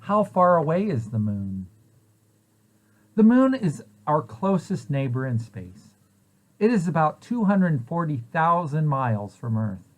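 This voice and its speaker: A man reads a picture book aloud for young children in a lively, swooping voice that sits a little high. His delivery is that of a storyteller, setting out each question and then its answer clearly. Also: measured pace. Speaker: preschool site director reading aloud.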